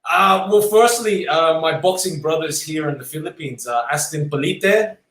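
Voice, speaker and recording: A man in his thirties who grew up in Australia, a guest boxer chatting casually in an interview, relaxed and self-deprecating, with frequent ums. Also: on the high side, normal speed, echoey room.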